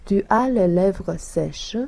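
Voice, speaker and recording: Caring friend in a lesson dialogue , woman reading, super slow, dark mellow voice. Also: medium pitch, creaky, full character voice. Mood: concerned. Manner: slow, careful, even reading. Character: woman friend Anne, asking after a sick friend. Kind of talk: read-aloud learner dialogue.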